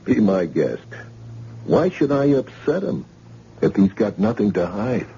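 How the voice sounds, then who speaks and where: An actor playing a pushy police detective sergeant who questions a witness insistently, in old-time radio drama style. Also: male voice, lowish voice, very animated, a little slow, mellow, a little hiss or hum. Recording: dull lo-fi old radio recording.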